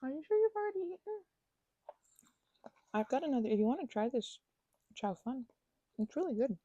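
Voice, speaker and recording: A woman in an ASMR roleplay talking to the listener as a roommate, rambling and hesitant, with lots of fillers and stammered restarts and soft whispers at times, close to the microphone. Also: sits high, very animated, moderate pace, no room sound, muffled recording.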